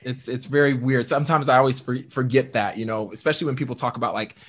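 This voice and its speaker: A young man hosts a conversational podcast with an upbeat, animated delivery, leaning on fillers as he talks. His voice sits at a middle pitch for a man, neither deep nor high. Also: fast talker, a little hiss or hum. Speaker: podcast host. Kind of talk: conversational interview.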